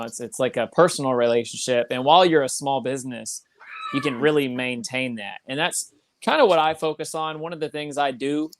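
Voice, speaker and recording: A man's voice: medium pitch, no echo. A man who runs a small business talks earnestly and at length in a casual chat over a video call. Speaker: small business owner, guest.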